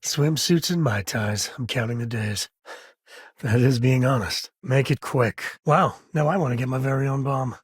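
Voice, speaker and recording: A man's voice: medium pitch, moderate pace, slightly rough, no room sound, studio quality, lightly acted. A man voicing an easygoing, folksy space ranger and father in a video game, with a wry, casual drawl. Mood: relaxed.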